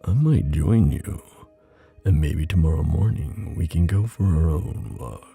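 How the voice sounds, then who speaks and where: Male ASMR boyfriend storyteller: very low, gravelly, unhurried, intimate and soothing, soft music underneath. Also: expressive. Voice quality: deep. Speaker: ASMR boyfriend roleplay performer.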